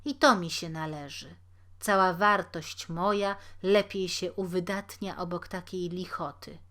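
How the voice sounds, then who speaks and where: Lady reading a stuck-up judgy critic guy in a fairy tale, pompous, condescending, slow delivery. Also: fairly low pitch, steady tone, pushed and bright. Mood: smug. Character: haughty, self-important male critic, coldly judgmental. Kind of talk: storybook character reading.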